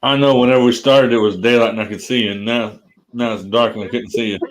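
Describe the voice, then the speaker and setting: A man hosting a livestream video chat, relaxed, chuckling and agreeing along with his guests. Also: medium pitch, quick pace, poor audio. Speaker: livestream host.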